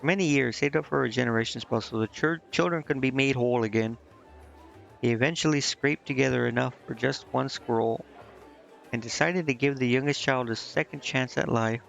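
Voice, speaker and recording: A man's voice: medium pitch, normal speed, creaky, fairly bright tone. A man doing casual live game commentary, informally thinking aloud and reading dialogue out as he goes. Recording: dull, band-limited sound with music. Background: game music.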